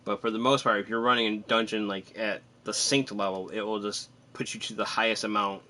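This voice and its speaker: A man's voice: lowish voice, little pitch movement, a little fast, bright, forceful tone, slight background noise. A man casually commentating on his live game stream, chatty and self-deprecating, thinking aloud as he plays.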